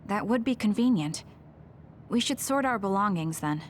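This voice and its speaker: A woman's voice: medium pitch, expressive, normal speed, slight background noise, close to an everyday voice. An actress voicing a cool, practical young woman fighter in an anime game, direct and matter-of-fact. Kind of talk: English anime game voice acting.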